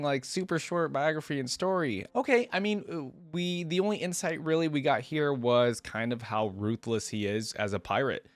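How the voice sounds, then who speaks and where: A man's voice: fairly high pitch, no echo. A man hosting a reaction video, giving casual commentary and rambling as he thinks aloud.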